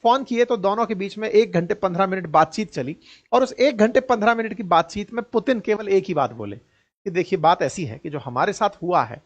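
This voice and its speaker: High-pitched, sarcastic Indian man commenting on news. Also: fairly quick, muffled recording. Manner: colloquial, sarcastic, mocking; rhetorical questions, addresses listeners directly. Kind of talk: informal news commentary, explainer.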